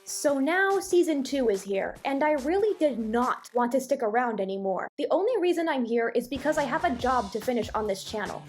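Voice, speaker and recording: A woman with a high-pitched voice delivers a blunt, opinionated review as a video host. She sounds emphatic and disgruntled. Light music plays underneath her. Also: expressive, a little fast, hardly any pauses. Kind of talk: opinionated video review, part scripted narration and part off-the-cuff commentary.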